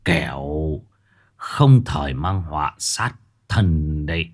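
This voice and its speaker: A stern, weary old fortune teller, his voice very deep and heavy with sighs, as a male narrator puts him on. He draws out every warning slowly, like a spoken horror tale. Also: lively intonation, heavily acted. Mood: grave. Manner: slow, heavy, sighing delivery.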